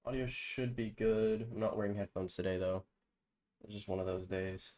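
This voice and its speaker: A man's voice: on the low side, moderate pace. A man with a stuffy, congested nose, casually talking through a football video game on a live stream, rambling with plenty of fillers. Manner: relaxed, rambling, many fillers. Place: home streaming setup with green screen. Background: background music.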